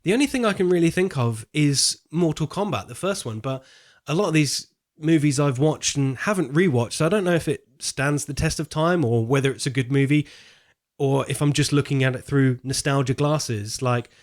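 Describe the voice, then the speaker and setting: A man's voice: fairly high pitch, moderate pace. Man chatting casually on a podcast. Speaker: podcast co-host. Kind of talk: casual film discussion.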